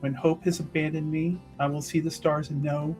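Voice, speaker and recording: A man voicing a pious, quietly nosy priestess in a fantasy tabletop game, speaking in a formal, reverent, measured way. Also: fairly high pitch, flat delivery, soft background music, lightly acted. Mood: reverent prayer.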